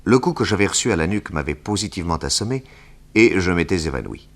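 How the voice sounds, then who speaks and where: Casting: grave, deep detective narrator, precise first-person storytelling, vintage French radio drama. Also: male voice, expressive. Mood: grave, suspenseful. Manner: measured, literary, precise. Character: composed male detective narrating his own adventure.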